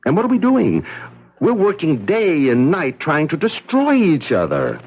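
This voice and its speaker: An actor playing an earnest, principled scientist in a 1970s radio drama, hesitant at times and emphatic. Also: male voice, a little high, huge pitch swings, unhurried, husky, highs cut off, heavily acted. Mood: earnest pleading. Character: anguished, principled male scientist resisting orders.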